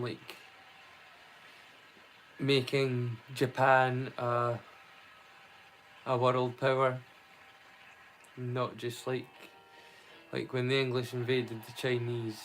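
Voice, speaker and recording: A man's voice: mid-range voice, fairly bright tone, a little hiss or hum, rough recording. A man hosting a live stream in a casual, rambling, chatty way.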